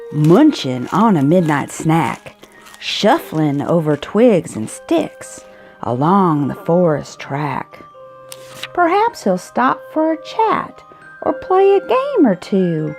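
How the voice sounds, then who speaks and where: A woman reading a children's picture book aloud, expressively, with drawn-out emphasis on key words. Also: low-pitched, darkish tone. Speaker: storybook reader. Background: music.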